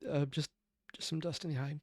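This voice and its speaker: A man's voice: a little high, little pitch movement, darkish tone, dry recording, studio quality. A man hosting a reaction video, giving earnest, analytical commentary in a reflective way. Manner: earnest, analytical, deliberate emphasis. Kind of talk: reflective commentary on a fan dub.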